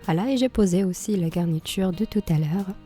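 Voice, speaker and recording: A woman with a slightly hoarse voice from a cold talks through a recipe in a calm, mellow tone. She explains each step plainly, with the odd small filler. Soft music plays behind her. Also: on the low side, brisk. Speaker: cooking channel host. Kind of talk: cooking tutorial voice-over.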